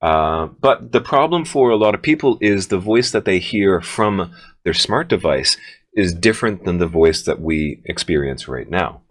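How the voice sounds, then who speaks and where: A man with a low, deep bass voice teaches in a conversational way, speaking deliberately and encouragingly as he thinks aloud. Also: lively intonation.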